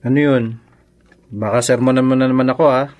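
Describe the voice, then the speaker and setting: An actor playing a feckless, easygoing young husband in a Filipino radio drama, casual and breezy. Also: male voice, medium pitch, fairly even intonation, a little fast, darkish tone, lightly acted. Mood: breezy, agreeable.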